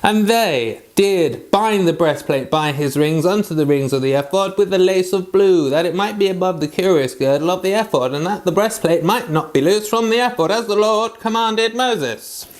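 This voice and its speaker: High-voiced man reading scripture aloud. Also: normal speed, hardly any pauses. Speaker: Bible reader.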